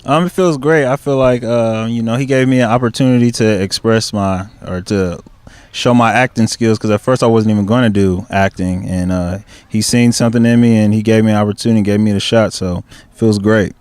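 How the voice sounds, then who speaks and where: A male actor answering interview questions in a hesitant way, pausing with fillers. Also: fairly low pitch, fairly even intonation, a little mellow, smooth, no echo.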